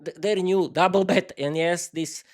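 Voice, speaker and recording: Man, slovak accent, non-native english, unscripted solo podcast, halting, many restarts, fairly high voice. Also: fairly even intonation. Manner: halting, frequent restarts and fillers. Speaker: podcast host.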